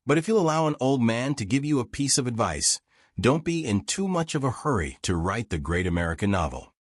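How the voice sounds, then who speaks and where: A man's voice: medium pitch, sing-song, fairly quick, dry recording, clean studio sound. A man voicing a frank, wry old American war reporter reminiscing in folksy, self-deprecating audiobook dialogue. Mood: kindly advising.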